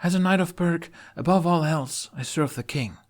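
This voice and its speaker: A man's voice: a little high, moderate pace, pitched above the performer's own voice, lightly acted. A man voicing an earnest, stubborn young female knight with an emphatic, imploring delivery in an audio story. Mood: determined, pleading.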